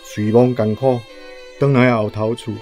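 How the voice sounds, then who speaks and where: Man as older Taiwanese father, resigned, measured, mid-pitched, faint music, radio drama. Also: steady tone, a little mellow, close to an everyday voice.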